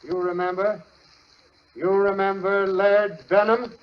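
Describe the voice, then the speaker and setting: A man's voice: sits high, flat delivery, slow. An actor playing an intense man who poses as a dying former patient in an old-time radio thriller. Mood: urgent, haunting. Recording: muffled old radio recording, music underneath.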